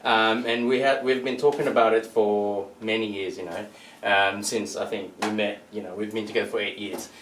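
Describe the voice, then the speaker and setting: An earnest man with a low voice answers questions, stopping and restarting as he goes. He sounds distant and roomy. A faint hum runs underneath. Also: fairly even intonation, a bit bright. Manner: halting, earnest, many restarts. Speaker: interview guest. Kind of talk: interview answer. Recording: echoey room from a distant mic.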